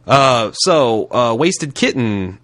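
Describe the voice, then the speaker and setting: A man's voice: medium pitch, big pitch swings, slow pace, a bit bright, no echo. A man from Indianapolis with a light Southern accent, hosting a casual podcast and reading listener mail in a frank, profane, joking way. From Indianapolis, USA. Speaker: podcast host.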